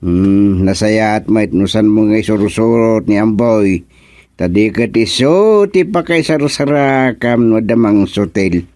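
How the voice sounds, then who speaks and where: A man's voice: on the low side, animated, measured pace, darkish tone, no room sound. An actor playing an older village chairman uncle in a radio serial, concerned and gossipy, with a Filipino accent. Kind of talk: radio serial drama acting.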